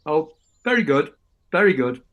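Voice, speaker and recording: A man playing a hearty, good-humoured middle-aged brother in a period radio play, speaking briskly. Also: fairly high pitch, sing-song, a bit bright, highs cut off.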